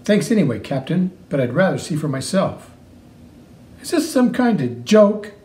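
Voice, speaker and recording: A man's voice: a little high, big pitch swings, moderate pace, background noise. A man voicing a dismissive, commanding army colonel who speaks curtly and condescendingly. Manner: curt, condescending.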